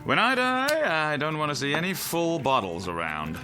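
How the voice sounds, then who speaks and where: Boozy, gloomy newspaperman, theatrical and very expressive, radio play over music. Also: a little high, normal speed. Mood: mock-grand. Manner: florid, mock-grand. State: tipsy. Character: tipsy, theatrical newspaper editor, gloomy and sardonic. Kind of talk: radio drama acting. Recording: radio drama with clear music bed.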